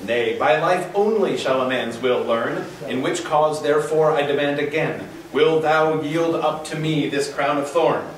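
A man playing a stern, solemn churchman in a dramatic reading of a verse play, with measured, formal declamation. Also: fairly high pitch, close to an everyday voice. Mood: solemn, insistent. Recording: echoey conference room, distant, faint noise.

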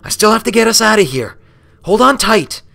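A man voicing a brash, determined young female pegasus pony in a storybook reading, loud and urgent. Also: sits high, big pitch swings, a little fast, vocal fry, pitched above the performer's own voice, exaggerated voice.

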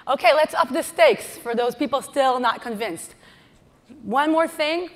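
A woman's voice: sits high, measured pace. Israeli woman giving a playful stage talk. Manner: playful, teasing the audience with flight-attendant jokes. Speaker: conference speaker. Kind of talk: lively keynote presentation. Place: large conference hall stage. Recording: hall reverb.

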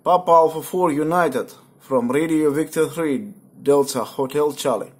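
A native Russian man speaks English with a Russian accent in a slightly high voice. He talks slowly and haltingly, like an amateur radio operator making a contact, spelling things out in phonetic code words. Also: breathy edge. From Moscow, Russia. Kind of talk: ham radio contact chat, on-air call signs. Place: indoors at a computer.

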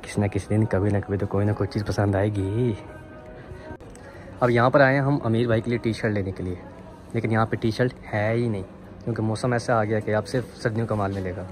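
پاکستانی لہجے میں نوجوان مرد، پیچھے موسیقی. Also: male voice, fairly low pitch, steady tone, a little fast. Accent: Pakistani. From Pakistan. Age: 20s. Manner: explanatory, mixes English words into speech. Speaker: vlogger, university student. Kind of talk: casual vlog chat to camera. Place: city streets outdoors and inside shops. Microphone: phone at arm's length. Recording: phone vlog with music laid over. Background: background music.

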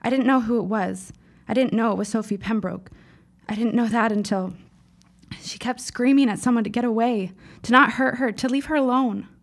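A woman's voice: fairly high pitch, normal speed, natural voice. An actress playing a precocious fifteen-year-old girl in an audio drama, speaking in formal, dramatic phrases. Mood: earnest, dramatic. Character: precocious, earnest fifteen-year-old girl writer, shaken but insistent.

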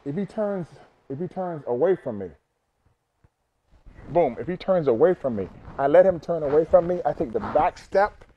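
An older man coaching judo in a large gym, explaining takedowns step by step and punctuating each move with "boom". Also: expressive, normal speed, breathy edge, highs cut off. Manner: punchy, repeats "boom" between steps. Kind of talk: demonstrating techniques to students. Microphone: camera across the room.

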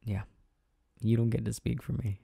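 Man as a soft, dark-voiced, gentle boyfriend. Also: mid-range voice, little pitch movement, creaky, husky, no echo, studio quality. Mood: earnest, firm tenderness. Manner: soft, intimate, halting, chuckling delivery. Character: gentle, reassuring, affectionate boyfriend, playfully teasing. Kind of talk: soft boyfriend ASMR roleplay.

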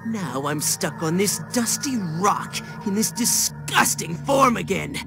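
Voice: mid-range voice, sing-song, a little slow, creaky, music in the background. An actor voicing a bitter, whiny teenage boy villain in a video game cutscene, ranting theatrically. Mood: furious.

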